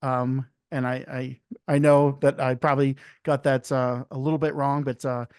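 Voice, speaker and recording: A man hosting a podcast, talking in a relaxed, rambling way with restarts and fillers. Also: medium pitch, normal speed, clear, resonant tone, dry recording, studio quality. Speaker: podcast host. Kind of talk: casual interview chat.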